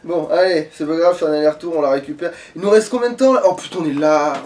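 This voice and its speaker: A man's voice: a little high, quick pace, distant mic, background noise. A man giving casual, sweary, slangy commentary while playing a video game. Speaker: let's player playing on camera.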